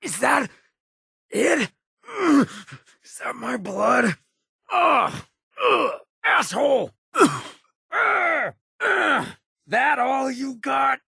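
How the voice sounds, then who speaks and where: A male actor playing a roguish, swaggering ghoul leader in a video game, with a gravelly rasp, an American accent and a cocky, streetwise drawl. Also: very high-pitched, sing-song, extremely slow, long pauses, sharp tone, dry recording, studio quality, full character voice. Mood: hurt, defiant. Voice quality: raspy, gravelly. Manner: cocky, streetwise drawl with clipped slangy phrasing. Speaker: companion character in a video game. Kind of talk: video game character voice lines.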